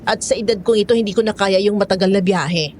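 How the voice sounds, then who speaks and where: A woman's voice: mid-range voice, fast, darkish tone, slight background noise, natural voice. A woman playing a weary, outspoken cook of fifty in an audio drama, with a Filipino accent and a blunt, complaining manner. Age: 50s.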